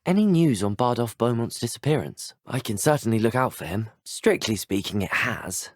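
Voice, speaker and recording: A man's voice: medium pitch, animated, moderate pace, no room sound, studio quality, close to an everyday voice. A young man with a British accent voicing a courteous, earnest teenage wizard in a video game, well-spoken and formal. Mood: polite, businesslike. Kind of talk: scripted video game dialogue.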